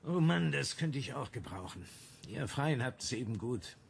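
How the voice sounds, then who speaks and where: An actor playing a collegial, slightly world-weary newspaper editor in a German radio play, chatty and resigned, with a creaky voice. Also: male voice, mid-range voice, expressive, moderate pace, slight background noise.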